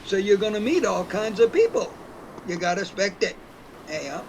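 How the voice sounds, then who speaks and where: A man's voice: high-pitched, lively intonation, normal speed, a little hiss or hum, low-quality recording. A man playing a folksy, stubborn man in his eighties from New Hampshire, rambling through his tale in a rural New England dialect for an audio play. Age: 80s. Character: folksy, stubborn eighty-four-year-old New Hampshire whiskey drinker. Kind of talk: first-person audio play storytelling.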